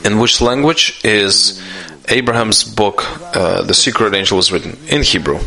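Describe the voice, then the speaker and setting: A man giving a live spoken interpretation of a question-and-answer session, halting often with restarts and fillers. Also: on the low side, sharp tone, rough recording.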